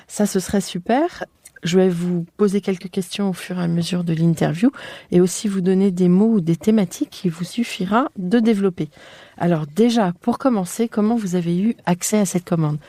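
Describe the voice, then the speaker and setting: Mellow woman hosting an interview. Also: fairly low pitch, dry recording. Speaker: podcast host and interviewer. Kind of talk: conversational interview.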